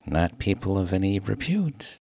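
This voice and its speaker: A man's voice: low voice, big pitch swings, moderate pace, vocal fry, warm tone, dry recording, clean studio sound, exaggerated voice. A man voicing a refined, mild and earnest clergyman, formal and wordy, in a humorous story. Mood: earnest, puzzled. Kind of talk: dramatic reading of a humorous story.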